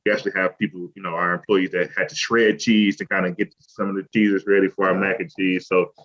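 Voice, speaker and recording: A man who owns a restaurant, talking in a relaxed, rambling way with lots of fillers as an interview guest, from Cleveland. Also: low voice, brisk. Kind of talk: casual interview talk. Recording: lo-fi muffled audio.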